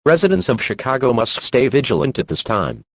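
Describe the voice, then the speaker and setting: A man's voice: medium pitch, huge pitch swings, fairly quick. A man reading scripted emergency warnings as an alert announcer, with a formal, stilted, synthetic delivery.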